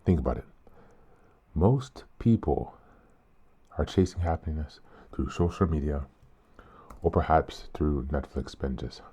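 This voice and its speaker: A man with an extremely low, dark and mellow voice reads aloud for his self-help podcast. He is a bit sick and slightly hoarse, and keeps a calm, earnest, unhurried delivery. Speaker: podcast host. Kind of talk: reflective podcast reading.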